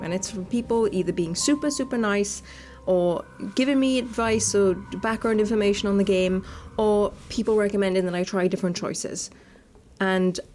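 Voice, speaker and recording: A woman's voice: mid-range voice, darkish tone. A woman doing casual, playful gaming commentary and reading game text aloud, chatty and informal. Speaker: gaming video host. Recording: music playing behind the voice. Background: background music.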